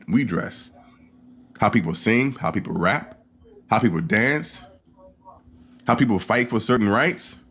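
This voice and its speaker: A man's voice: medium pitch, fairly bright tone. A man speaking emphatically and proudly in casual commentary, repeating his points.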